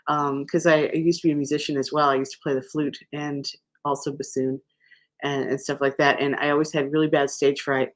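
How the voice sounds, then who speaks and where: A woman hosting a live crochet stream, chatting casually and rambling with many fillers, restarts and easy laughs. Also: deep voice.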